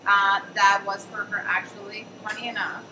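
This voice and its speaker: A woman's voice: mid-range voice, level tone, normal speed. A woman hosting a panel conversation, telling a story casually with lots of fillers. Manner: chatty, many fillers and "I'm like". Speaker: host and café founder. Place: café event space. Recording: echoey room, distant mic, noisy.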